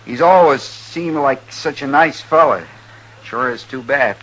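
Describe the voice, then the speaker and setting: A man's voice: medium pitch, measured pace, fairly bright tone. An American actor playing a folksy, meddling, over-friendly frontier townsman in a 1950s radio Western, hesitant and ingratiating. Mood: uneasy, ingratiating. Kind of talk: 1950s radio drama acting. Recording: noisy vintage 1950s radio recording.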